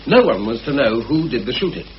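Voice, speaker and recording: A stubborn suspect in a radio mystery, acted by a man, defensive and clipped, with plenty of movement in his pitch. The recording is dulled, with slight hiss beneath. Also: very animated, fairly quick, natural voice. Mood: terse, resigned. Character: stubborn, defensive male murder suspect insisting on his confession. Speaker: actor in a radio play. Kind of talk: radio murder mystery acting. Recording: muffled, lightly hissy old radio recording.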